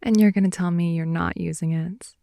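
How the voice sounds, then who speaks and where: A woman improvising an intimate ASMR roleplay as a playful, affectionate girlfriend in bed, speaking softly, often in whispers and sighs, close to the microphone. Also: on the low side, fairly even intonation, fairly quick, vocal fry, no echo. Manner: soft, often whispery, sighing, teasing. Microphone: close microphone, dry intimate sound.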